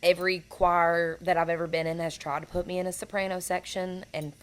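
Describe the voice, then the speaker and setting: Young female guest, raspy alto, earnest storyteller. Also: fairly low pitch, flat delivery, fairly quick. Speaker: guest singer. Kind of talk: casual interview chat.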